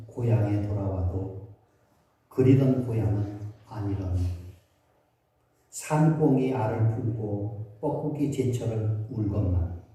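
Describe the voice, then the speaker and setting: Middle-aged pastor preaching, mellow voice, big echo, sounds far from the mic. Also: male voice, lowish voice, a little hiss or hum. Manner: storytelling, acting out voices of villagers and asking the congregation questions. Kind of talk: Christmas sermon. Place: church sanctuary. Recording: very echoey church hall, voice from some distance.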